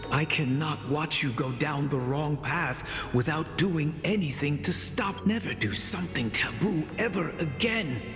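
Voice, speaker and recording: A man's voice: on the high side, unhurried, hardly any pauses, fairly bright tone. A man playing a stern but caring teacher in a video game, formal and admonishing in manner. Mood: stern, pleading. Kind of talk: dramatic game voice acting. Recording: echoey long reverb, music underneath.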